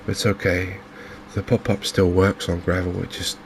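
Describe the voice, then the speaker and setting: Softly spoken English male game host, flat and hushed delivery, gravelly fry, faint background noise. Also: low voice. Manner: gentle, unhurried, hushed. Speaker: gaming video host. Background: fishing game ambience.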